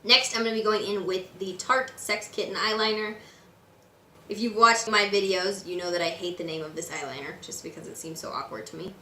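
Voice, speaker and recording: Raspy-voiced woman chatting through makeup steps. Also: mid-range voice, a little fast, roomy sound, a little hiss or hum. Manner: chatty, relaxed and instructive, with frequent fillers. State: raspy voice today. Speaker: beauty vlogger. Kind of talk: makeup tutorial talk. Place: room at home.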